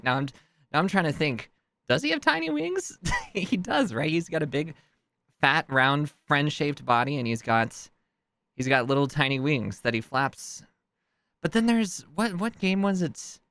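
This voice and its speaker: Male streamer, stuffy nose, casual self-interrupting ramble, smooth clear tone, dry close sound. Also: fairly bright tone, no echo. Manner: rambling, self-interrupting, chuckling, jumps in pitch.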